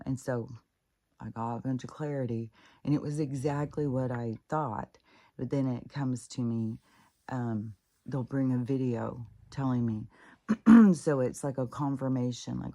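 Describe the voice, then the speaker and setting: A woman's voice: rumbling low, warm tone. Stuffy-nosed woman rambling casually. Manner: casual, swears freely, many fillers and restarts. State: congested, stuffed up. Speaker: spiritual vlogger. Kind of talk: rambling personal monologue.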